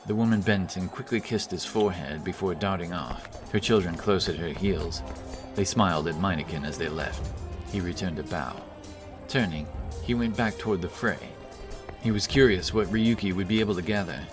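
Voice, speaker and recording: A man narrating a scripted fantasy audiobook in a measured, dramatic way. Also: low voice. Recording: music bed under voice.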